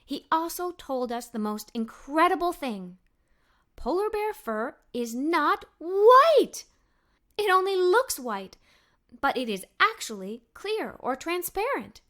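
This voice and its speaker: A woman narrating a children's story as a read-aloud, with animated, expressive delivery. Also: extremely high pitch, slow.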